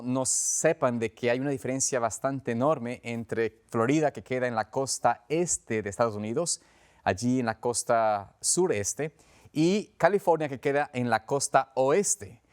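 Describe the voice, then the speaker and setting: A man's voice: medium pitch, a little mellow, clear, resonant tone. A man with an Ecuadorian accent preaching as a pastor in a conversational, earnest, explanatory way, often rephrasing as he goes. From Quito, Ecuador. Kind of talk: conversational sermon.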